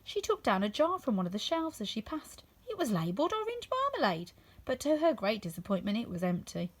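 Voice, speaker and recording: Female children's story narrator: expressive, higher register, very lively intonation. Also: a little fast. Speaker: storybook reader.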